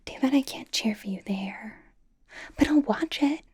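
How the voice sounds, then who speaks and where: A woman playing a bubbly, anxious, caring young K-pop idol in an ASMR roleplay, with an American accent, a soft breathy whisper and a chatty, rambling, reassuring way of talking. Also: fairly high pitch, measured pace. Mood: eagerly supportive. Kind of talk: ASMR personal attention roleplay.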